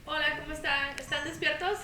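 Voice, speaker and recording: A woman's voice: high-pitched, fairly even intonation, quick pace. A woman from Ecuador introducing herself at an assembly in a hall, engaging the audience with questions. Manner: interactive, asks the audience questions. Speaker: violinist and music school founder. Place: school assembly stage. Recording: echoey hall, distant, clear noise.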